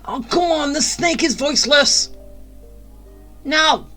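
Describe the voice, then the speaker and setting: A woman's voice: high voice, slow pace, pushed and bright. A woman giving casual, sarcastic gameplay commentary as a streamer, grumbling a little, with game music behind.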